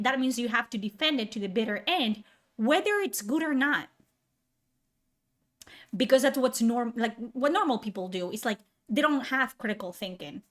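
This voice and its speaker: A woman's voice: on the high side, fairly bright tone. Sarcastic, heated woman streamer ranting.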